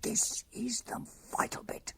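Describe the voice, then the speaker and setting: A woman playing a coy, boastful safe-cracker in a comic radio play, excitable in manner. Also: unhurried, in a whisper, natural voice. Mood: hushed concentration. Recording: guitar music under the voice.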